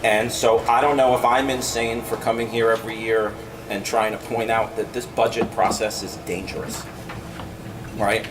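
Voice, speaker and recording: Parent at public comment, emphatic, distant stand mic in an echoey room. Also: male voice, mid-range voice. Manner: emphatic, keeps asking "right?". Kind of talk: public comment at a school board meeting. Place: large school meeting room. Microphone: stand mic a few feet away. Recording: roomy, distant, noisy room sound.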